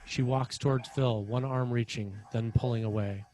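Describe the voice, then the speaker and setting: A man's voice: mid-range voice, fairly even intonation, normal speed, mellow, slight background noise, rough recording. A man reading screenplay action lines as narrator at a table read. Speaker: screenwriter narrating stage directions.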